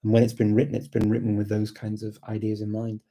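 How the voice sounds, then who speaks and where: Husky, dark-voiced man chatting. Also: on the low side, completely flat delivery, fast talker. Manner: hesitant, reflective, repeats words while thinking. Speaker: livestream host and language learner. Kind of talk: casual live chat, thinking aloud.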